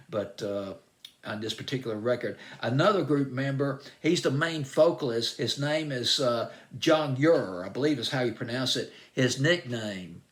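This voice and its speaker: A man's voice: medium pitch, a bit bright. A man with a Southern US accent hosting a music countdown show, talking about a song in a rambling, enthusiastic way. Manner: rambling and enthusiastic, with restarts and drawn-out phrases. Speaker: radio music show host. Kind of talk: chatty countdown commentary.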